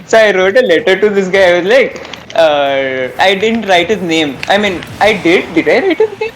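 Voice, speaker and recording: A young man from India with an Indian accent telling rambling personal stories casually, in a halting, self-deprecating way. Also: high voice, big pitch swings, a bit bright, slight background noise. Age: young adult. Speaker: storyteller.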